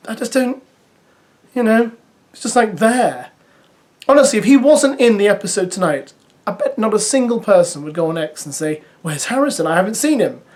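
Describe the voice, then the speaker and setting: Brief: parent vlogger giving reality TV recaps, chatty and wry, middle pitch. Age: adult parent. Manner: chatty, sarcastic asides, lively. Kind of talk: casual spoken commentary to viewers.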